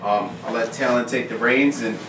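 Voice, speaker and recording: A man's voice: medium pitch, steady tone, moderate pace, fairly bright tone, recorded from a distance, slight background noise. A man in his twenties, a podcast guest, talking up his friends in a joking, hyped-up way. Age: 20s. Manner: hyping others, joking. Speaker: guest, documentary organizer. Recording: echoey room, voice off-mic, chatter behind.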